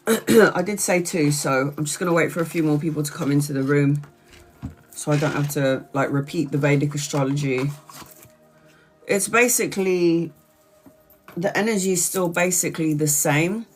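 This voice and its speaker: A woman's voice: deep voice, normal speed, long pauses, soft background music. A congested woman tarot reader chatting casually on her livestream, tired and rambling.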